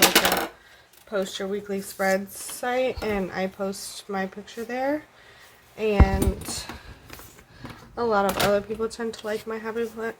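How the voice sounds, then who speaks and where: A stuffed-up woman at home talks through her planner in an easy, rambling way, full of ums and likes. Her voice sits at a middle pitch. A faint background hum stays under her. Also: rough recording. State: stuffed up with a cold. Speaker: planner vlogger. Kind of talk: casual plan-with-me chat.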